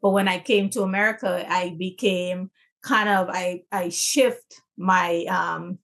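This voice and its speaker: A woman's voice: lowish voice, steady tone, a little slow, fairly bright tone. A woman from Guyana, a guest on an interview show, telling her story in a chatty, loose way. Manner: chatty, many ums and you-knows, laughs easily. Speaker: guest, legacy coach. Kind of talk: casual interview.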